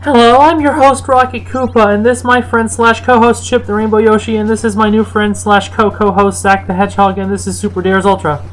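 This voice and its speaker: A woman doing an excitable, high-pitched little turtle boy. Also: a little fast, hardly any pauses, soft background music, natural voice, rough recording. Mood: excited announcing. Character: excitable seven-year-old boy Koopa turtle, eager show host.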